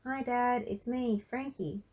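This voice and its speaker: A woman's voice: fairly high pitch, fairly even intonation, slow, darkish tone, voice pushed up, poor audio. A restless young boy, polite but cheeky, as a woman reader puts him on. His voice is lifted and eager, a little sheepish, as in a storybook read aloud.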